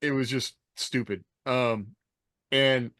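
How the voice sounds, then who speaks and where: A man with an American accent talks informally, as a podcast co-host would. His sound is close and dry, his pitch is mid-range, and he wanders loosely through his anecdotes. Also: steady tone, slow pace, a bit bright, no room sound. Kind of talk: casual chat, telling anecdotes.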